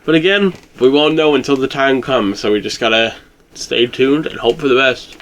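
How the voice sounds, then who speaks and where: Casual, blunt man with mid-pitched voice. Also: normal speed, a bit bright. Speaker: co-host of a video game theory discussion. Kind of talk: casual chat.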